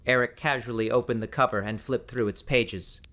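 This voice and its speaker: A man narrating scripted fiction as an audiobook narrator, in a measured, descriptive storytelling manner. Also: mid-range voice, steady tone, pushed and bright.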